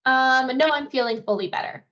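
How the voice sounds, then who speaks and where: A woman's voice: sits high, moderate pace, a bit bright. A woman answering interview questions as a patient, conversational and a little hesitant, thinking aloud. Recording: dull, lo-fi phone call audio.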